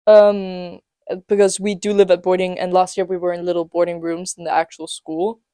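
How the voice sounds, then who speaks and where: Teenage guy joking around, mellow voice. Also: sits high, level tone, moderate pace, clean tone, dry recording, clean studio sound. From England and Denmark. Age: late teens. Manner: joking, teasing, lots of fillers. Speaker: podcast co-host. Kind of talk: casual chat. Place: student apartment.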